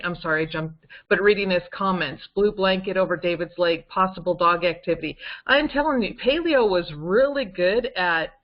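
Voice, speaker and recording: Emphatic woman on a lo-fi conference call. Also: medium pitch. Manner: emphatic, insistent. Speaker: guest on a case-discussion call. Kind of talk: conversational explanation of forensic details.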